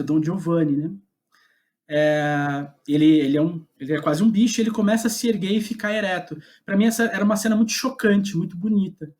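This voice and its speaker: A man's voice: high voice, a little mellow. Thoughtful Brazilian man in conversation. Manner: hesitant, thoughtful, often reformulating. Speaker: guest playwright. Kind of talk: reflective interview conversation.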